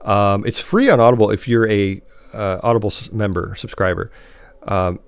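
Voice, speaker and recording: A man's voice: fairly low pitch, steady tone, moderate pace, creaky, clear, resonant tone, dry recording. A man co-hosting a podcast, chatting casually through a review in a hesitant, rambling, conversational way. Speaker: podcast co-host reviewing post-apocalyptic media.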